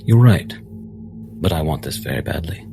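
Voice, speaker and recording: Very deep, measured man roleplaying. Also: lively intonation, creaky. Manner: measured, formal. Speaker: player in a tabletop roleplay game. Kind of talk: in-character roleplay and narration. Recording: loud music under the voice.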